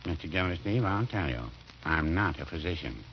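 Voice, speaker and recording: A mild, noncommittal druggist in vintage radio comedy, with a lowish voice that wanders up and down. He is dry and hesitant, heard through a muffled, faintly hissy recording. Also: expressive, fairly quick. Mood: evasive. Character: mild, evasive, unhurried small-town druggist. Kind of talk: 1940s radio comedy acting.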